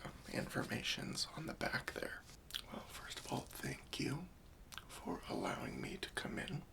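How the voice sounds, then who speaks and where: A man playing a gentle, patient, faintly wry professional cleanup worker in a softly whispered, hesitant ASMR roleplay. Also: extremely low pitch, huge pitch swings, measured pace, creaky, voice pushed down, exaggerated voice. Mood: polite, introductory. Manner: soft, hesitant, soothing, politely tactful.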